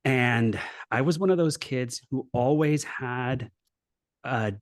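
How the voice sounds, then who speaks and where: A man's voice: mid-range voice, takes it slowly, no echo, studio quality. A middle-aged man from Los Angeles, a guest on an interview show, telling his life story in an earnest, reflective way with many restarts. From Los Angeles, USA. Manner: earnest, reflective, with frequent restarts and "you know". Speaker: guest, coach and former professor.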